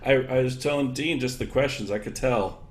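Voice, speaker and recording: A man giving thoughtful, conversational interview answers, thinking aloud in halting, loose chains of examples. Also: mid-range voice. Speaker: interviewed guest, education technology expert. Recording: echoey room, clear background noise.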